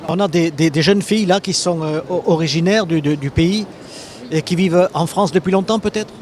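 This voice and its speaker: A man's voice: a little high, a little fast, a little mellow. A man roaming a trade fair, asking stand holders questions in a chatty, joking way with many restarts. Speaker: fair reporter. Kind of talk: roving interviews at a trade fair.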